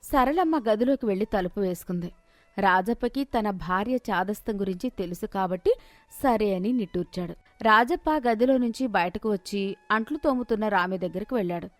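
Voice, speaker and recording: A woman's voice: mid-range voice, animated, normal speed, no room sound. A woman storyteller reading a scripted moral tale.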